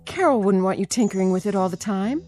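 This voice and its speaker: An actress playing a caring, patient granddaughter in a radio drama, gentle but firm in how she talks. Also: medium pitch, lively intonation, fast talker, soft background music, natural voice. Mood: guarded, firm.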